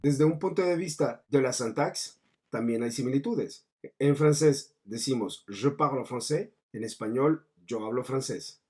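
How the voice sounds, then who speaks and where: A man's voice: mid-range voice, a little slow, a little mellow, slightly rough. A man narrating a scripted explanatory lesson as a language teacher, in a didactic manner with foreign terms mixed in. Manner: didactic, mixing in French terms.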